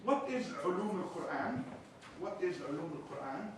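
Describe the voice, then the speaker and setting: A man from Palestine with a thick Arabic accent lectures as a chaplain, dropping teasing jokes between points. His voice sits a little high. The mic is some way off, so he comes through a booming, echoey room with clear background noise. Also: animated, normal speed, recorded from a distance. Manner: jokey, teasing asides between explanations. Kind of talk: seminar lecture.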